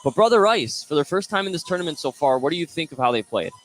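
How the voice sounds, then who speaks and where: A man doing live play-by-play radio commentary of a high school basketball game. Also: big pitch swings, quick pace, fairly bright tone. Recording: noisy gym ambience behind the voice.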